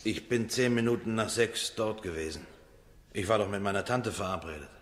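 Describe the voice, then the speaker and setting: Actor as low-voiced, hesitant shady man. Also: brisk, a bit bright, natural voice. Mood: sheepish, defensive. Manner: hesitant, colloquial, tag questions. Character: evasive, naive, disreputable male accused nephew. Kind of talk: radio drama dialogue.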